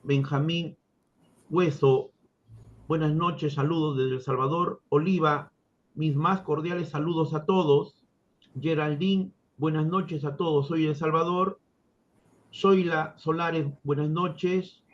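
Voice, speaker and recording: A man formally hosting an online film forum, introducing the event with ceremonious, deliberate phrasing. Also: on the high side, fairly even intonation. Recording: dull, lo-fi audio.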